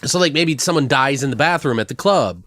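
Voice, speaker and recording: A man co-hosting a comedy podcast, brainstorming casually in a rambling, profane way as he thinks out loud. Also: mid-range voice, expressive, fairly quick, no echo. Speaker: podcast co-host.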